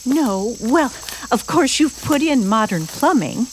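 High-voiced, fluttery romantic bride. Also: female voice, animated, slight background noise, low-quality recording. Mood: awed, uneasy. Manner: gushing, hesitant, halting. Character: naive, romantic, anxious newlywed bride. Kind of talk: old-time suspense radio drama acting.

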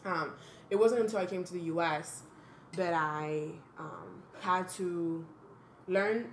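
A woman's voice: fairly low pitch, slow, echoey room, slight background noise. A young woman from the Dominican Republic co-hosting a podcast, speaking thoughtfully and frankly about her life. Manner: thoughtful, earnest, frank, with sudden blunt humor. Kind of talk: reflective casual chat.

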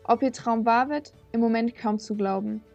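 Young female reporter, higher voice with strong fry, scripted reportage over faint music. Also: steady tone, normal speed, warm tone, muffled recording. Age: young adult. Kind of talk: scripted radio reportage narration.

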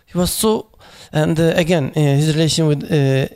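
Halting man, gravelly voice. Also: on the high side, fairly even intonation, darkish tone. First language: not English; foreign accent in Turkish noted. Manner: hesitant, many fillers and restarts. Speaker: panelist at a memorial talk. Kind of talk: unscripted speech, halting and searching for words.

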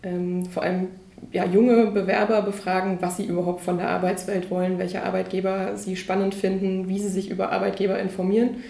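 Gravelly woman in an echoey room. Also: mid-range voice, little pitch movement, fairly quick, mellow. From Berlin, Germany. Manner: explanatory, uses many fillers. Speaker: employer branding consultant. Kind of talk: interview answers.

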